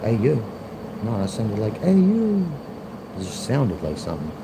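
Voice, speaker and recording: Man, 40s, Philadelphia accent, deep voice, rambling and argumentative, loud hum behind him. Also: huge pitch swings, measured pace. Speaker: livestream host. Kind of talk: rambling livestream monologue. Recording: loud background noise, air conditioner hum.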